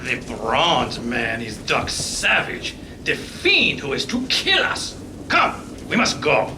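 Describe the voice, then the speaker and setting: A man acts out a sinister, florid gentleman from a radio melodrama. His pitch leaps dramatically as he speaks in formal, ominous phrases. It is a noisy, echoey live stage recording. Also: huge pitch swings, slow pace. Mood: ominous, courtly. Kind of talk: old-time radio play acting.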